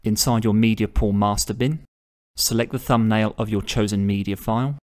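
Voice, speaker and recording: Man, fairly low voice, tutorial host, measured step-by-step instructions. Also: steady tone, darkish tone. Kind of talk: step-by-step screen tutorial narration.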